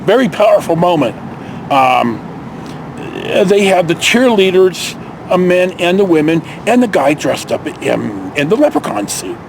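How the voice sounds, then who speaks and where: A man's voice: sits high, very animated, a little slow, creaky, background noise. An older American man tells personal stories in a casual, folksy, rambling way, as a vlog host speaking outdoors. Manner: folksy, digressive, mild swearing. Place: outdoors in a park.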